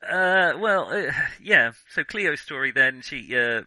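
Guy chatting about films, really bright sharp voice, close dry sound, kinda halting. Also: a little high, lively intonation, no echo. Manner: hesitant, self-correcting, thinking aloud. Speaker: film discussion podcast co-host. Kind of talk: casual film discussion.

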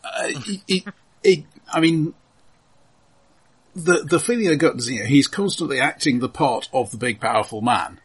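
Husky, halting man discussing films. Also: fairly high pitch, animated. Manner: halting, repeating words, thoughtful. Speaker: film podcast co-host. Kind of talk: casual film discussion.

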